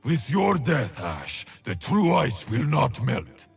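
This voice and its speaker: A man playing a solemn, stern shaman warrior in a video game, with a gravelly voice and a slow, dramatic delivery. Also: mid-range voice, big pitch swings, soft background music, exaggerated voice. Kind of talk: video game character voice lines.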